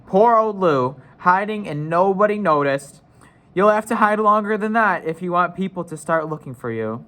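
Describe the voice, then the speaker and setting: A man's voice: high voice, expressive, moderate pace, sharp tone, pitched above the performer's own voice. A man as a teasing, sensible older brother. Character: older brother Peter, teasing but reasonable schoolboy.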